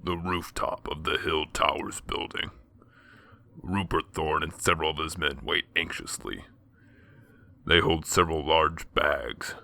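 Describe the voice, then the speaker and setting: A man's voice: rumbling low, creaky, bright, forceful tone, heavily acted. A man playing a stubborn, brooding masked vigilante in a radio drama, terse, grim and resolute. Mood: tense narration. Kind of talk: radio drama acting and first-person narration.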